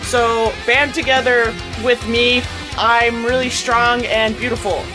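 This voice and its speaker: Theatrical, rambling high-voiced woman. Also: flat delivery, slow pace, music in the background, low-quality recording. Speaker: panelist on a comedy podcast. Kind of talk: improvised comedic role-play.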